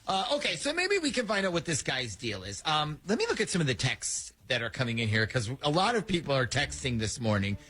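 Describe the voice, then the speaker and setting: Male morning radio host, animated and bright, a bit high-pitched, chatty with fillers. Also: fairly quick, hardly any pauses, husky. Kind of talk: morning radio prank call.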